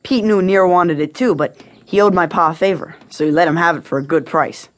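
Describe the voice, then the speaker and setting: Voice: mid-range voice, brisk, fairly bright tone, natural voice. An actor plays a young American boy of the Old West, about twelve. He is wary and defiant, talking in plain, rustic country phrases. It is dramatic audio Western acting. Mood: aggrieved, earnest. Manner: rustic folksy speech. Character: wary, defiant twelve-year-old frontier boy, son of jailed man.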